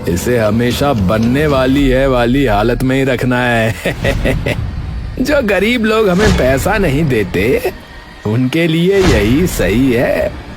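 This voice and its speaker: Greedy, scheming village villain, played by a man, slow smug delivery, animated story, clear background music. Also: mid-range voice. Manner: smug, mocking. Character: gloating, greedy corrupt village politician and contractor cronies. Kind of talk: animated moral story acting. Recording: music behind the voice.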